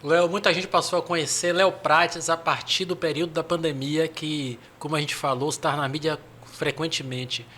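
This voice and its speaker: A man hosts a casual podcast in a slightly higher voice. His delivery is friendly and upbeat, like an announcer warming up a chat. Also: fairly even intonation, normal speed, slight background noise. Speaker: podcast host. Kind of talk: casual podcast hosting, reads sponsor spots.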